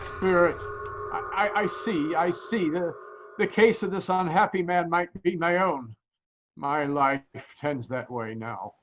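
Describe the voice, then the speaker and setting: An actor playing a repentant old miser in an audio play, halting and stammering with fervent feeling. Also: male voice, a little high, fairly bright tone, dull audio. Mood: troubled. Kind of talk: theatrical audio-play acting. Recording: noisy audio-play recording.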